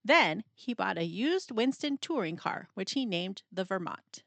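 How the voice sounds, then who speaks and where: A woman reading a children's picture book aloud in an expressive, playful storytelling style, with dramatic pauses and emphasis. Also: mid-range voice, gravelly, no echo.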